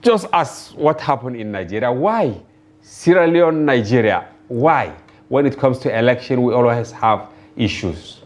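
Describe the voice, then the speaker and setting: A man's voice: mid-range voice, lively intonation, measured pace. A man hosting a TV politics talk show, asking probing questions. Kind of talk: moderating panel.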